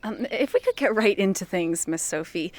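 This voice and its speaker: Straight-laced small-town reporter played by a woman, average pitch, earnest, dryly probing, for an audio drama. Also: lively intonation, moderate pace, darkish tone, lightly acted. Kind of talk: scripted audio fiction acting.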